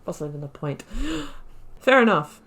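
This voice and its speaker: Expressive, lowish-voiced woman commentating a game. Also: normal speed. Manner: expressive, thinking aloud, emphatic repetition. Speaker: gaming video host. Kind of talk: casual playthrough commentary.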